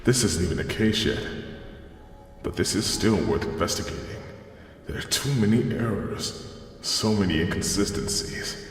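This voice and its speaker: A man's voice: deep voice, lively intonation, normal speed. An actor playing a stern, no-nonsense male lead detective, terse, dry and brooding, in an audio drama. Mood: stern, impatient. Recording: reverberant hall, music underneath.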